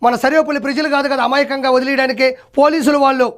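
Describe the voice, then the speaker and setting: A man hosting comic political commentary, telling it in a mocking, animated storytelling way. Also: extremely high pitch, rapid-fire, heavy background noise. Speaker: host of a political troll video.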